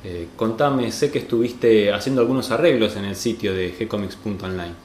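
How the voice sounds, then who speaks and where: A man's voice: lowish voice, brisk, a little mellow, slight background noise. A man with an Argentine accent presenting a podcast and chatting as its host. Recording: roomy echo, faint music behind.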